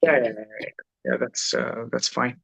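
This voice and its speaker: A man's voice: mid-range voice, normal speed, gravelly, pushed and bright, breathy edge. A man in his forties from Ethiopia, speaking hesitantly as a lawyer taking part in an online workshop. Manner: hesitant, with many uhs and repeats. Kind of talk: casual chat.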